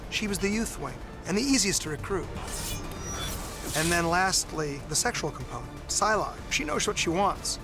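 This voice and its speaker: A man's voice: sits high, lively intonation, moderate pace, over music. A man, a film director, explaining his casting and character ideas in a behind-the-scenes interview.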